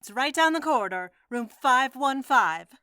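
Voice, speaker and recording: An actress as a tart American mademoiselle in a radio mystery. Her voice is high, bright and crisp, with a brisk, indignant manner that will not be pushed around. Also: lively intonation, no room sound, studio quality. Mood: flustered. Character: feisty, indignant, unflappable American single woman. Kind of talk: old-time radio mystery acting.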